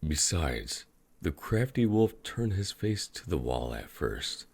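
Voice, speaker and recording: A man narrating a fairy tale as a storyteller, in a measured, atmospheric manner. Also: sits low, very animated, a little mellow, slightly rough.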